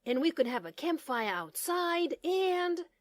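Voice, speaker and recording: A woman's voice: very high-pitched, very animated, measured pace, no echo, pitched above the performer's own voice, exaggerated voice. A woman voicing a keen, caring young boy turtle in a children's storybook.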